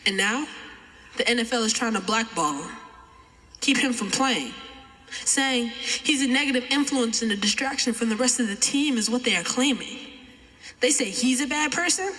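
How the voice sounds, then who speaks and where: A twelve-year-old American boy performing spoken-word poetry with emphatic, deliberate oratory. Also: very high voice, pushed and bright. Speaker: young poet reciting. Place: large chapel, live audience event. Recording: echoey large chapel, long reverb.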